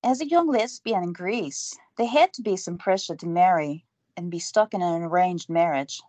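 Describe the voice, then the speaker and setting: Actress as starstruck young interviewer, babbling apologies, dry close sound. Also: female voice, fairly low pitch, normal speed, warm tone, no echo, natural voice. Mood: flustered. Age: young adult.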